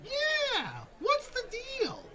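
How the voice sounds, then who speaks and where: A tiny gnome sorcerer in a radio comedy. The voice is extremely high and swoops all over, bright and cheeky, with words drawn out slowly. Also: female voice, wildly swooping pitch, over a noisy crowd, exaggerated voice. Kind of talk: comedy radio play acting.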